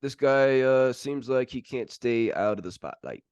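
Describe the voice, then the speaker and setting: A man co-hosting a sports podcast, relaying news in casual, informal chat with a halting delivery. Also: mid-range voice, a little mellow, smooth, no room sound.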